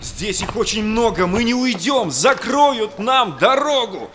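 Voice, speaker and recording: An actor playing an alarmed, cautious Cossack fighter, declaiming rhymed lines theatrically in an audio play. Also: male voice, high voice, lively intonation, measured pace, background noise. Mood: panicked, shouting. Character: alarmed, cautious Cossack comrade under attack.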